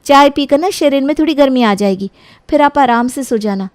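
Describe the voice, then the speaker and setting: A woman's voice: high-pitched, brisk, darkish tone, dry recording, pitched above the performer's own voice, natural voice. A woman playing an anxious, polite young daughter-in-law in an audio story, speaking with earnest emotion. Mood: polite, caring.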